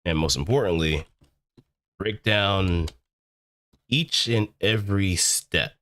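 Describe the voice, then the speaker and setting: A man streaming a casual tutorial, explaining things step by step in a deliberate, halting way with emphatic repetition. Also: deep voice, fairly bright tone, no echo.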